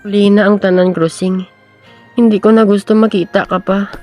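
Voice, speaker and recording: A woman's voice: mid-range voice, little pitch movement, moderate pace, warm tone, faint music behind, natural voice. A young woman playing an earnest, lovestruck schoolboy in an amateur radio drama. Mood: hurt, angry. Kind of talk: amateur radio drama acting in Filipino regional language.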